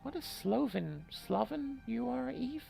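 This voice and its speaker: A gamer voicing a creaky, wry horror-game heroine. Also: mid-range voice, animated, slow, dark tone, soft background music, pitched above the performer's own voice, heavily acted. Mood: self-reproaching. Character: Eve, female game protagonist, wry and self-reproaching narrator. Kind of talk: dramatic reading of visual novel narration.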